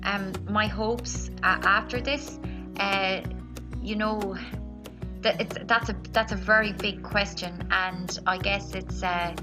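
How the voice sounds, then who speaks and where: Halting woman over background music. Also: mid-range voice, steady tone. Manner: halting, searching for words, repeating phrases. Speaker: theatre artist. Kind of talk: unscripted reflective talk.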